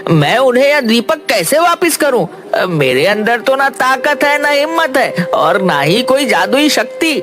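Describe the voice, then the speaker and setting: An actor voicing a poor, simple village man in an animated folk tale, folksy and pleading. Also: medium pitch, a little slow, over music. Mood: worried, pleading. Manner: pleading, folksy, repeats a pet oath. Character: poor, timid, simple male village woodcutter.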